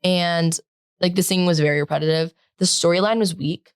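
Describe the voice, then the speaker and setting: A teenage girl co-hosting a podcast in casual chat, talking loudly and rambling with lots of "like" fillers. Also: lowish voice, fairly even intonation, brisk, smooth, dry recording, clean studio sound. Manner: loud, projecting, rambling with many "like" fillers. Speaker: podcast co-host.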